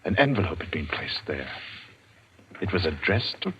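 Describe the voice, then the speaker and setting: A man announces and narrates a 1940s radio suspense drama in a dramatic, ominous, measured manner with suspenseful pauses. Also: low-pitched, sing-song, background noise. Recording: muffled vintage radio broadcast. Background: loud dramatic orchestral music.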